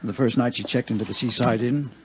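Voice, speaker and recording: An actor playing an earnest, gullible small-time actor in his thirties, halting and defensive, in a 1950s radio drama. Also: medium pitch, fairly even intonation, a little hiss or hum, close to an everyday voice. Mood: uneasy. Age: 30s. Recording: muffled, slightly rough 1950s radio recording.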